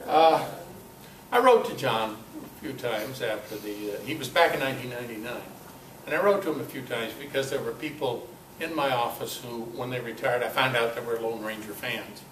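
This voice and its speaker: An older man giving an informal talk at a club meeting, telling personal anecdotes in a halting, conversational way. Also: mid-range voice, fairly bright tone. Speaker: guest speaker at a club meeting. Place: meeting room, in front of an audience. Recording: echoey, noisy room recording from far off.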